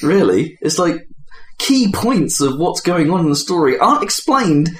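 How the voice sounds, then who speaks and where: A man's voice: a little high, very animated, normal speed, fairly bright tone. A man hosting a casual gaming podcast, rambling and opinionated in his talk. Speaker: podcast host. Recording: echoey room with faint background noise.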